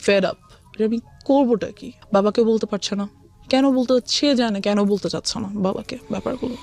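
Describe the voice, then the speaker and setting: A woman's voice: on the high side, moderate pace, creaky, darkish tone, faint music behind. A woman with a Bangladeshi accent, an interview guest telling a personal story and often switching between Bengali and English.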